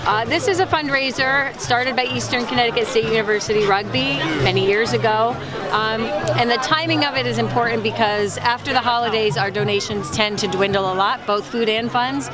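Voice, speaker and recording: Woman interviewed outdoors at a loud, crowded event. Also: on the high side, fairly quick. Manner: casual, fillers like uh and um. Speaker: soup kitchen staff member. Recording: crowd and loud music behind.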